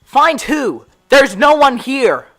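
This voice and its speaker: A woman's voice: high voice, sing-song, slow, soft background music, full character voice. A woman playing a cocky, impatient boy sidekick in an audio drama, blunt and bratty.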